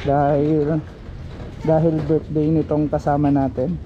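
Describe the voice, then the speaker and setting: Male Filipino motovlogger, high-pitched, casual and rambling, recorded outdoors on a ride with heavy vehicle noise. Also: flat delivery, a little slow, highs cut off. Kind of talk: casual vlog chat while riding a motorcycle. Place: outdoors on a motorcycle on the road. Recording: loud road and engine noise. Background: vehicle and street noise.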